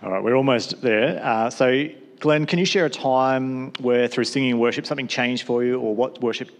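A man's voice: mid-range voice, normal speed. A man, a pastor, hosting a worship leaders' panel and asking guests questions with brief, encouraging replies. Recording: long hall reverb, faint noise.

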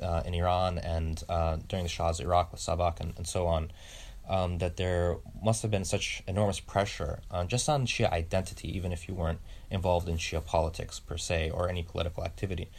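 A man's voice: deep voice, a little mellow. A man interviewing, asking long winding questions with many fillers. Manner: constant murmured backchannel, long winding questions. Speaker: interviewer, researcher. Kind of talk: oral history interview.

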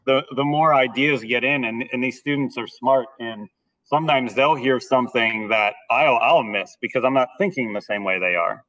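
A man's voice: medium pitch, normal speed, a bit bright. Man, 30s, band teacher, slightly nasal, hesitant restarts, a bit far from the mic. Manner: hesitant, repeats words when starting sentences. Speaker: school band director. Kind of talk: podcast interview, casual.

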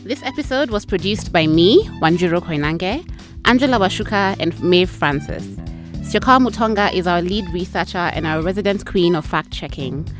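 A woman's voice: fairly low pitch, expressive, normal speed, over music. A woman hosting a podcast, reading scripted credits. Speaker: podcast host and producer.

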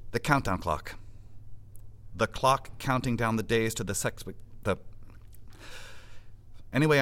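An actor playing a harried, sarcastic, sweary government boss in a satirical audio drama. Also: male voice, mid-range voice, a bit bright, lightly acted. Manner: wry, exasperated, sweary.

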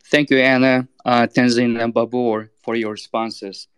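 A man's voice: mid-range voice, little pitch movement, a little slow, smooth. A man politely moderating a panel discussion on a video call.

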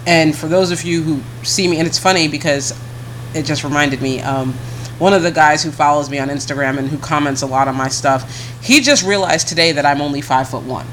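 A woman co-hosting a casual podcast chat, talking bluntly and frankly in a deep, heavy voice she keeps a little higher than her natural one. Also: fairly bright tone, slight background noise, low-quality recording. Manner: blunt, frank, swears, laughs often. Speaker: podcast co-host.

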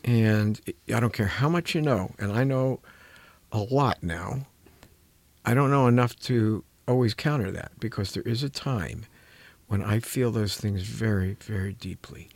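Low-voiced, earnest man speaking haltingly. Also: dry recording. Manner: halting, searching for words, earnest. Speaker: clinician and programme host. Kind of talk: reflective conversational talk on caregiving.

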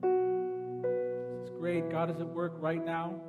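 A pastor delivering an altar call sermon, urging and pleading, swinging from loud appeals to hushed, quiet invitations. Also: male voice, fairly high pitch, monotone, slow pace, phone-quality sound, loud music behind the voice. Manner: urgent, pleading, swells loud then drops to a hush.

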